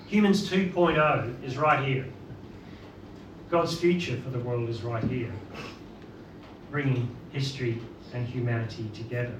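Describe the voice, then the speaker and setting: Animated Northern Irish preacher. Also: male voice, mid-range voice. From Northern Ireland. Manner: animated, rhetorical questions to the congregation. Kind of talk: sermon. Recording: roomy, distant mic with background noise.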